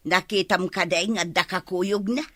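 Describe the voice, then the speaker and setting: A woman's voice: fairly low pitch, steady tone, moderate pace, a bit bright, dry recording, studio quality. An actress playing a knowing, scheming older village woman in a radio drama. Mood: probing.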